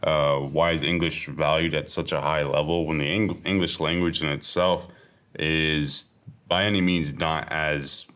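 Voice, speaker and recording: A man with a very deep, low voice talks through his views in a relaxed podcast panel. He hedges often and pauses on fillers as he works out his points. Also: clean tone. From South Asia. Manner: thoughtful, hedging with fillers. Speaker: podcast co-host. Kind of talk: casual panel discussion.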